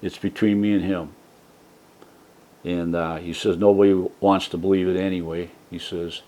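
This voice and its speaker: Soft-spoken low-voiced man rambling. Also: flat delivery, moderate pace. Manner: soft-spoken, rambling with many fillers and restarts. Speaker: YouTube channel host. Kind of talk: casual rambling vlog talk. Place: trailer home. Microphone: desk mic set for a soft voice.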